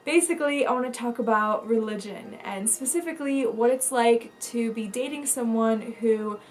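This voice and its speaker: A woman's voice: on the high side, normal speed, a little hiss or hum. A young woman from Bulgaria, a lifestyle vlogger talking casually to camera, chatty, with lots of fillers and restarts.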